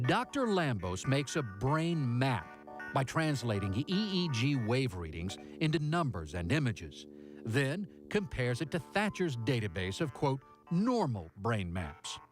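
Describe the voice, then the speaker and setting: A man's voice: medium pitch, big pitch swings, unhurried, over music. A man with an American accent reading a scripted television news report as a reporter.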